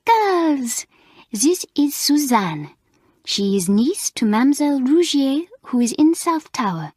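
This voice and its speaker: Lady doing a fussy teacher, way high and loud, scolding, dry close sound. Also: sing-song, slow, no room sound, full character voice. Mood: beaming. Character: excitable middle-aged French schoolmistress, fussy.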